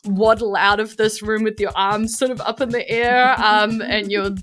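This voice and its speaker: A woman's voice: mid-range voice, level tone, moderate pace, a bit bright, faint music behind. A woman with a New Zealand accent narrating a tabletop game as game master, chatty and informal.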